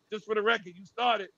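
A man co-hosting a casual gaming chat, loud and profane, cracking jokes and laughing often. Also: sits high, no room sound, muffled recording. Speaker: podcast co-host.

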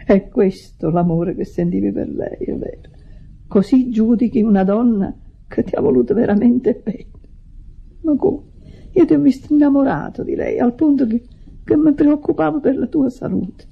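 An actress playing a devoted, lonely sister in an Italian radio drama, husky and earnest, with a hurt confessional manner. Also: female voice, a little high, lively intonation, normal speed, exaggerated voice. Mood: reproachful. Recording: dull vintage radio drama recording.

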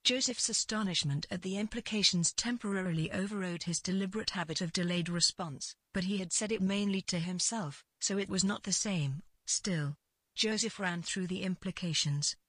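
A woman narrating literary fiction from a script in a measured way. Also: fairly low pitch, a bit bright, breathy edge, no room sound.

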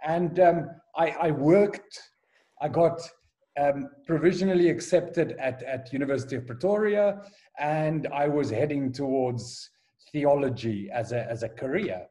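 A South African man in his forties speaks in a mellow, slightly high voice. He talks in a relaxed interview way, stumbling and repeating words as he gathers his thoughts. He is a school headmaster telling his story. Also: unhurried. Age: 40s. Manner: hesitant, many restarts and repeated words. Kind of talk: casual podcast interview. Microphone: call recording.

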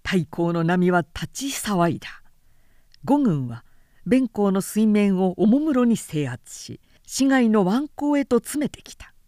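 A woman narrator reads a historical tale at a slow pace. Her pitch moves widely in a dramatic, measured way. The sound is close and dry. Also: big pitch swings, warm tone, no room sound. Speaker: narrator reading literature aloud. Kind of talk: scripted narration of a historical novel.

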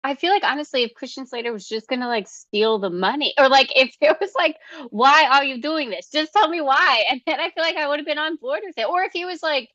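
A woman's voice: high voice, fairly quick, hardly any pauses, sharp tone, no echo, highs cut off. A woman chatting casually on a film podcast, loosely searching for her point and trailing off. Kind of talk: casual chat.

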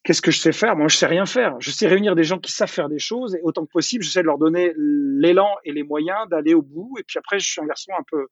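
A middle-aged man speaks in a husky, slightly high voice, racing through his story. He is a chatty interview guest who keeps restarting and repeating words. The recording is dry and a little dull. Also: fast talker, few pauses, dry recording. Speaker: entrepreneur interviewee. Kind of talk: casual conversational interview.

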